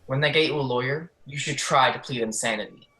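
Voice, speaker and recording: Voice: medium pitch, fairly quick, pushed and bright, close to an everyday voice, rough recording. An actor playing a scornful, foul-mouthed jail officer in an audio play, brusque, sarcastic and bullying.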